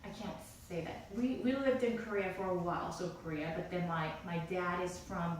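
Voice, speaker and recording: A woman's voice: lowish voice, fairly even intonation, a little fast, recorded from a distance, a little hiss or hum. A woman teaching a class, encouraging and hesitant, with many fillers. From United States, East Coast. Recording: echoey classroom recorded from afar.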